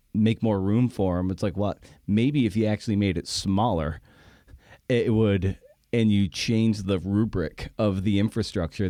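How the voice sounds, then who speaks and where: A man hosting an interview podcast, casually thinking aloud in halting, meandering sentences. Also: fairly low pitch, dark tone, no echo, clean studio sound.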